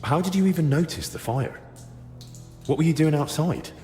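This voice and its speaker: An actor playing a blunt, probing young male investigator in a video game cutscene, speaking in a measured, matter-of-fact way. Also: fairly high pitch, expressive, warm tone, soft background music, close to an everyday voice. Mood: probing, wry.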